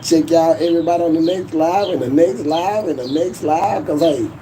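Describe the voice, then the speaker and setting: A man's voice: fairly high pitch, moderate pace, background noise. A man, hosting a casual livestream in a boastful, profane, laughing way. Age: 40s. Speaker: YouTube livestream host.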